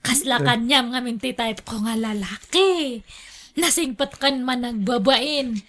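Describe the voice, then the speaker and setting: A rich, flirtatious boss lady in a radio drama. She speaks in a high, unhurried voice, coy and teasing as she shows off her generosity. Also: fairly bright tone. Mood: flirty, teasing. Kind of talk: Ilocano radio drama acting.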